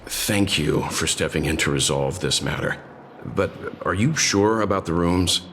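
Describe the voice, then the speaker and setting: A man playing a calm, considerate, mature traveler in a video game, speaking in a polite and measured way. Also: sits low, a little hiss or hum. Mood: polite, concerned. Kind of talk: English video game voice acting.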